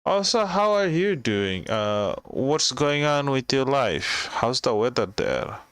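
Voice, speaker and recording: A man from Bangladesh, chatting casually on his live stream in a halting way, with many restarts. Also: mid-range voice, big pitch swings, a bit bright, clean tone. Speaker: livestreamer.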